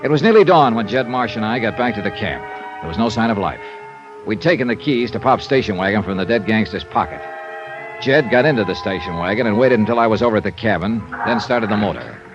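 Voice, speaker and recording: An actor playing a shrewd, self-assured insurance investigator in an old-time radio detective drama, with a clipped, probing delivery. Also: male voice, lowish voice, lively intonation, quick pace, lightly acted. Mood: dry narrating. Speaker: lead actor and narrator. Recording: dull vintage radio recording, faint music.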